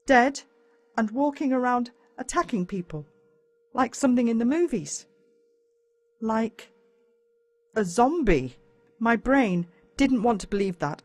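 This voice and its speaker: A woman with an Australian accent narrates a children's story in the first person, in a dramatic, expressive way. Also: on the high side, no room sound. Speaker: audiobook narrator.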